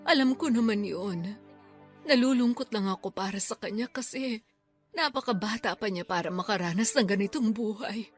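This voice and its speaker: A woman's voice: mid-range voice, normal speed, soft background music, close to an everyday voice. An actress playing a tearful, grieving elderly grandmother in a Filipino-accented Tagalog radio drama. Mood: sorrowful, worried. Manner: tearful, emotional delivery.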